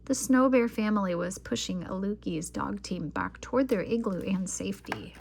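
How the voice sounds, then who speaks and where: A woman reading a children's picture book aloud as an expressive storyteller. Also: medium pitch, normal speed, vocal fry, slight background noise.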